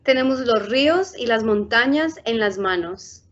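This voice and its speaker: Mulher aguda lendo conto em chamada. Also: female voice, fairly high pitch, animated, moderate pace. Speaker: outreach storytime host. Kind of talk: bilingual children's book reading. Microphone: laptop webcam on video call. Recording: muffled video call audio.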